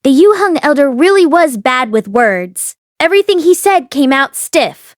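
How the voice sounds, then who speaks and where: A woman reading a story aloud as an audiobook narrator, in an expressive scripted style. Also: high-pitched, moderate pace, no room sound, studio quality. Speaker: audiobook reader and host.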